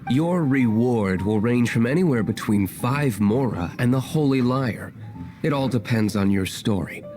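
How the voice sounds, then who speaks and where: A man's voice: mid-range voice, lively intonation, unhurried, a little mellow, faint music behind, close to an everyday voice. An actor playing an aloof, cynical young male tavern owner in a video game, cool, curt and dry. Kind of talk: animated game voice acting.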